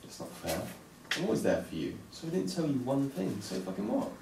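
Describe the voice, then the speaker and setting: A man plays a defensive, confused young guy in a drama read-through. He talks fast with a lot of pitch movement. The recording is distant and echoey. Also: huge pitch swings, creaky, noisy background, exaggerated voice. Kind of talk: table read of a drama script. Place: table read in a room.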